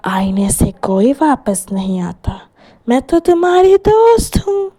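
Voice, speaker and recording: A woman voicing a sinister living doll in a horror story, menacing and possessive, with a slow, eerie manner. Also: high voice, sing-song, warm tone, voice pushed up, exaggerated voice. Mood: taunting.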